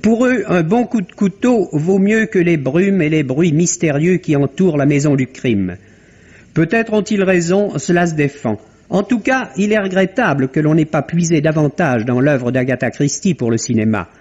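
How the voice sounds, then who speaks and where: A man's voice: fairly high pitch, animated, normal speed, a little mellow. A man delivering a formal, opinionated scripted commentary as a columnist on 1950s French radio. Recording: dull lo-fi vintage radio recording.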